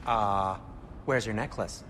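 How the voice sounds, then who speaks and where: A man's voice: lowish voice, slow pace, fairly bright tone, a little hiss or hum. An actor playing a fussy, anxious dwarf craftsman who frets in a cinematic video game. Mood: suspicious, wry. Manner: fretful, fussy.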